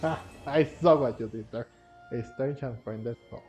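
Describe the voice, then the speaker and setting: A man giving casual gameplay commentary, rambling and halting, often repeating his words. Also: mid-range voice, darkish tone. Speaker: gaming video creator. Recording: dull, lo-fi home microphone. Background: faint game music.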